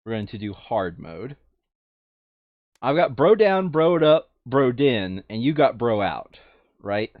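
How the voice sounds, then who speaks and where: A man's voice: medium pitch, very animated, slow pace, no room sound, lo-fi sound. A man chatting casually as a player planning a board game session, thinking aloud and repeating his phrases.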